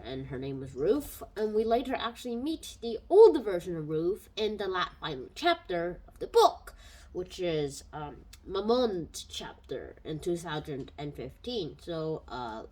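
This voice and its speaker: A woman's voice: fairly low pitch, a little mellow, rough recording. A woman giving a casual spoken book review into a home microphone, rambling and thinking aloud.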